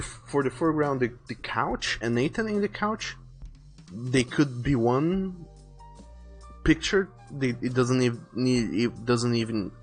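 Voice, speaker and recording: Male livestreamer, mid-pitched, rambling and informal, planning out loud with music behind him. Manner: rambling, repeats and rephrases as he plans. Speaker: live streamer illustrating a visual novel. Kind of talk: casual livestream chat, thinking aloud while planning artwork.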